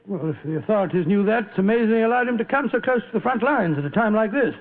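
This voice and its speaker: Husky, high-pitched, flustered British sidekick. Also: male voice, lively intonation, quick pace. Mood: alarmed. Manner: blustering, stammering, exclamatory. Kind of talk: old-time radio drama acting. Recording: tinny, band-limited vintage radio recording.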